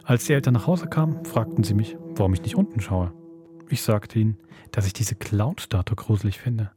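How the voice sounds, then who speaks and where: A man with a fairly low voice hosts a horror podcast. He reads and narrates in a measured, suspenseful manner, with music clearly playing underneath. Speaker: podcast host and producer. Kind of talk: scripted horror podcast intro and storytelling.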